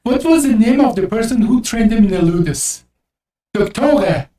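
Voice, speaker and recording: A woman's voice: a little high, expressive, moderate pace, mellow. A woman co-hosting a casual podcast, a native Azeri Turkish speaker who questions and explains. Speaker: podcast co-host.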